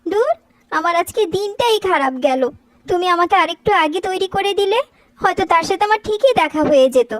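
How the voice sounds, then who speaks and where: A woman's voice: very high-pitched, lively intonation, brisk, no echo. A woman voicing a cartoon sister in dubbed dialogue, sulky and complaining.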